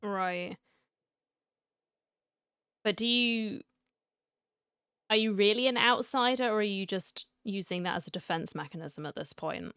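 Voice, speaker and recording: A woman's voice: mid-range voice, unhurried, long pauses, fairly bright tone, smooth, no echo, studio quality. A woman hosting a gaming video, reacting casually and musing aloud in an informal way. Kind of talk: casual game reaction commentary. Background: game music.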